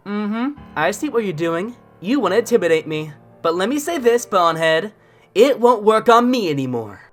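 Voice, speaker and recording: Woman voicing a mouthy teenage gamer boy, lively pitch. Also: moderate pace, faint music behind, lightly acted. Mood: smug, taunting. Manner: mocking, slangy, foul-mouthed. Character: taunting, thin-skinned teenage boy, a gamer. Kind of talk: audio drama acting.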